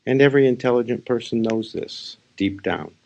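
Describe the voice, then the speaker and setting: A man speaking as a spiritual teacher, leading a guided meditation in short, unhurried phrases with pauses. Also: mid-range voice, creaky, darkish tone. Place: live session.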